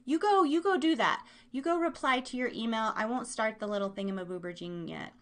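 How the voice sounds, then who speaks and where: High voiced woman doing a live show, super chatty n silly, rambles, pokes fun at herself. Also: fairly quick, a bit bright. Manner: chatty, rambling, giggly, self-deprecating; playful exaggeration and silly made-up words. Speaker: podcast host. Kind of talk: casual live chat show, talking to listeners in chat.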